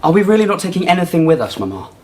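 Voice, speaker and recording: A man's voice: high-pitched, very animated, rapid-fire, noisy background. A young man acting an earnest, honourable nobleman shamed by his family, speaking formally and contritely in a radio drama. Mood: earnest, anxious. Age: young adult.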